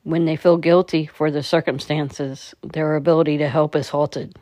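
A woman leading a guided meditation in a calm, measured, soothing manner. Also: low-pitched, dry recording.

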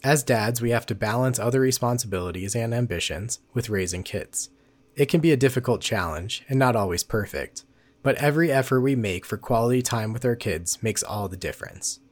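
A man's voice: medium pitch, expressive, brisk, no echo. A fairly young man hosting a podcast in a casual, conversational style. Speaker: podcast host. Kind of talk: casual interview chat and podcast sign-off.

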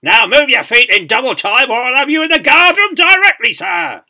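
A man voicing a stern, booming British army sergeant major in a dramatised story, bellowing parade-ground commands. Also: animated, moderate pace, bright, forceful tone, full character voice. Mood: furious.

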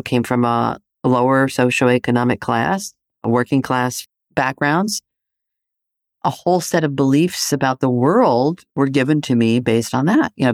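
A solo podcast host from Greece, musing aloud and pressing the listener with rhetorical questions. The talk is loose and conversational, dotted with "right?" and "you know". The voice is at a middling pitch and sits dry and close, with no room sound. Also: no echo. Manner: provocative, poses rhetorical questions.

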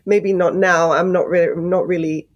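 Thoughtful woman, lowish voice. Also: fairly even intonation, normal speed, lo-fi sound. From Australia. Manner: thoughtful, many fillers and restarts, laughs lightly. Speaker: guest, climate correspondent. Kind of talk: casual interview. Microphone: video call.

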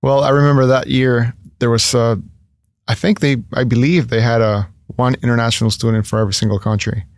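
A man's voice: medium pitch, little pitch movement, brisk, no echo, clean studio sound. A man in his thirties from Ecuador, a guest in a casual interview, talking earnestly with many fillers and restarts. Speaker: guest, entrepreneur.

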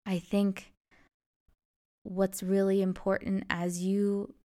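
A young woman hosts a solo podcast and speaks at a slow, unhurried pace. Her voice sits in a middle pitch, and she sounds earnest and encouraging throughout. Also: little pitch movement, mellow. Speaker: podcast host. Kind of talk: reflective solo podcast monologue.

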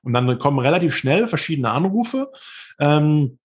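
A man's voice: fairly high pitch, very animated, moderate pace, breathy edge. A man in his thirties, a former professional football player, telling his career story as an interview guest in long, rambling sentences with frequent hesitations.

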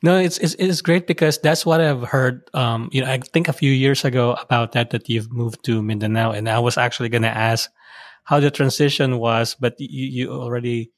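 A man from the Philippines hosting a podcast interview, supportive and quick with short affirmations. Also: mid-range voice, fairly even intonation, no echo. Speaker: podcast host. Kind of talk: casual interview. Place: home in the United States.

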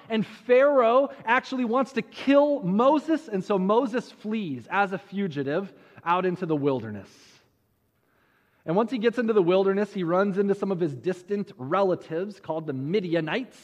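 High-voiced male preacher in a reverberant hall. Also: expressive. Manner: storytelling with dramatic pauses and rhetorical questions. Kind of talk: sermon retelling a Bible story. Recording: muffled audio with long hall reverb.

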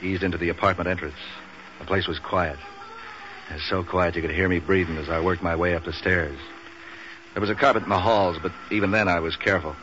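An American actor playing a street-wise, wisecracking waterfront man for hire in 1940s radio noir, with a clipped, sardonic, deadpan delivery. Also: sits low, fairly quick. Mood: tense, deadpan. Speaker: lead character and narrator. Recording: dull, hissy 1940s radio recording.

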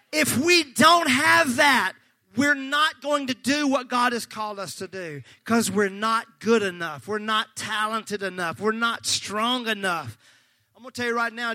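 A male pastor preaching a sermon, fervent and folksy, joking with the congregation and breaking into shouts. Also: extremely high pitch, no room sound. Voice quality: slightly gravelly.

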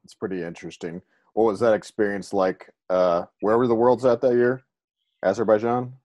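A man's voice: on the low side, fairly even intonation, moderate pace, darkish tone, no room sound, lo-fi sound. A man hosting a casual interview, joking and stumbling over his words as he asks questions. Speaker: interview host.

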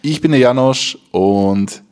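A man's voice: mid-range voice, slow pace, a little mellow, clean tone, no room sound, clean studio sound. A man hosting a podcast in a casual, informal way, phrasing his questions and remarks a little hesitantly. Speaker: podcast host and street interviewer. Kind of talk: casual street interview and podcast chat.

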